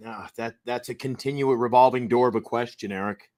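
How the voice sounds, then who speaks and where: A man's voice: mid-range voice, fairly even intonation, a little fast, a little mellow, no echo. A man co-hosting a casual sports talk stream, with a wry, questioning way of talking and dry humor.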